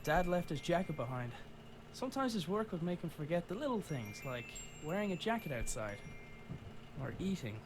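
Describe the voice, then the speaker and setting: A man's voice: fairly high pitch, big pitch swings, moderate pace, background noise. An actor playing a wry, reflective father searching for his own dad, narrating an adventure game in the first person. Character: adult son and father, wry inventor's child searching. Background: game music.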